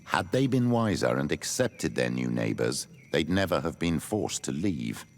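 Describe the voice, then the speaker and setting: A man playing a grave older priest in a video game, wry, cautious and gravelly, with a dry, admonishing manner. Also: extremely low pitch, very animated, unhurried, exaggerated voice. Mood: dry reproach. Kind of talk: video game dialogue acting.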